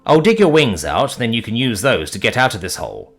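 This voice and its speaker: A man's voice: mid-range voice, very animated, fairly quick, fairly bright tone, faint music behind. A man playing an exasperated, irritable young man who grumbles and swears.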